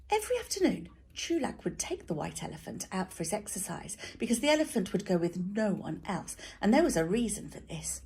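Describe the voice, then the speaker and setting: A woman reading a children's story aloud as a teacher, in an expressive narrating style. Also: lowish voice, husky.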